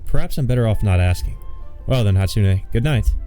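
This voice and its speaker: Low, mellow man reading a game aloud. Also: expressive, brisk. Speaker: let's player. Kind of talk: reading a visual novel aloud with offhand comments. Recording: faint music behind the voice.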